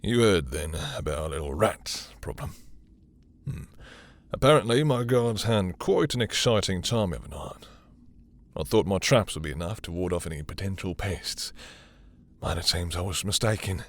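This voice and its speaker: A man playing a possessive, dominant vampire lord in intimate roleplay audio, with a husky, breathy voice and a teasing, seductive, condescending manner. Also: sits low, lively intonation, moderate pace. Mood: cold, smug. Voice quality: husky, breathy, dropping into whispers.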